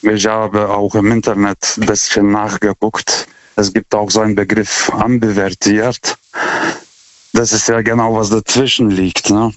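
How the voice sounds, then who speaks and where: A man's voice: fairly low pitch, little pitch movement, a little slow, no echo. A man, not a native speaker of the language, calling in to a radio show and talking hesitantly with many fillers and restarts. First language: not German. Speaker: caller. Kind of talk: call-in radio chat. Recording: dull phone-line audio.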